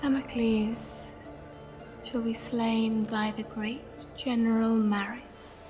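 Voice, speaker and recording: A woman's voice: on the high side, flat delivery, slow. A woman playing a mysterious oracle in a video game, delivering bloody prophecies in an ominous, portentous way. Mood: foreboding. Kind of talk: dramatic video game acting. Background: loud dramatic music.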